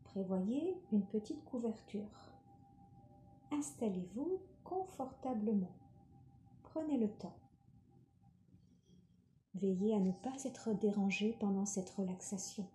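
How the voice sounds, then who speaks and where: Woman, relaxation guide, slow pace, gentle calm instruction, deeply mellow tone, guided meditation. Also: slight background noise. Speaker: yoga nidra relaxation guide. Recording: roomy, reverberant sound.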